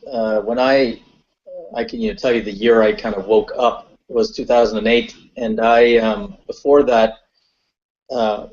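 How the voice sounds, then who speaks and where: A man's voice: medium pitch, a little mellow. A man from Guyana hosting a webinar, telling a personal story in a reflective way with many fillers. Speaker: webinar host. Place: online call. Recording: muffled webinar call audio.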